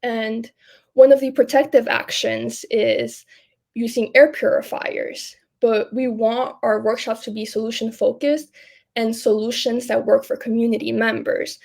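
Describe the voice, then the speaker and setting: A woman's voice: fairly high pitch, little pitch movement, a little slow, gravelly, darkish tone. A woman in her twenties, a student researcher, explaining things conversationally as an interview guest. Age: 20s. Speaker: podcast guest, public health student researcher.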